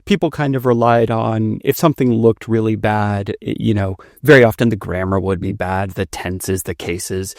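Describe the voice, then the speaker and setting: A man's voice: on the low side, steady tone, normal speed, hardly any pauses, a little mellow, no echo, studio quality. A man who is a native Finnish speaker, hosting a conversational podcast interview. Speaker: podcast host interviewing a guest.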